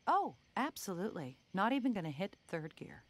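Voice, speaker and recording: Playful, very animated sports car. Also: female voice, mid-range voice, brisk. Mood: teasing, fond. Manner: teasing, easygoing. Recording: vehicle ambience behind the voice.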